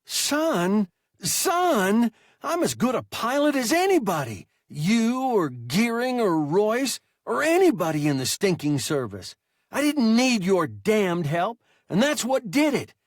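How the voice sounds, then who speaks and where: A man voicing an injured young male pilot who is bitter and accusing, in a thin, high whining voice. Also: big pitch swings, slow pace, no echo, studio quality, heavily acted. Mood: shouting, tearful. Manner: bitter, shrill and rising to screams.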